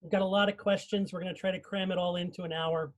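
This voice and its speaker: High-pitched man hosting casually. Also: flat delivery, fast talker. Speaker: host of a cast live stream. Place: group video call.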